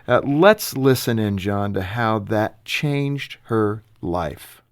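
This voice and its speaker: Middle-aged male co-host, low and dry, easygoing chat. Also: slow pace, clear, resonant tone, dry recording. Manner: easygoing, with frequent "uh" fillers. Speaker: radio co-host. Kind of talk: casual talk-show chat.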